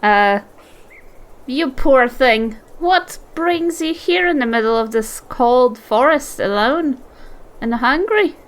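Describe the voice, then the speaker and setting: Woman putting on a slow, high-voiced kindly granny. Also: animated. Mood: sympathetic. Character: old half-elf granny druid, kindly, unhurried, gently chiding. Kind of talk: tabletop roleplay character voice.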